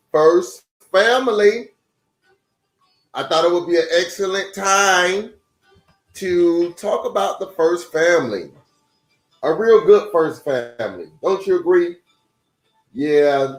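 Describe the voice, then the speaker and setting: A man reads a picture book to children in a lively, swooping voice of medium pitch. He talks straight to his young audience as a storytime host. The room gives his voice a noticeable echo, and music plays underneath. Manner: addresses young listeners directly with rhetorical questions and exclamations. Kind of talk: animated read-aloud storytelling for children.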